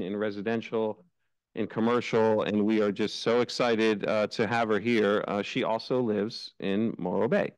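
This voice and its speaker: Smooth-voiced city staffer introducing hires. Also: male voice, medium pitch, no echo, rough recording. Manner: friendly, hesitant with fillers. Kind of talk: introducing new hires at a council meeting. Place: council chambers.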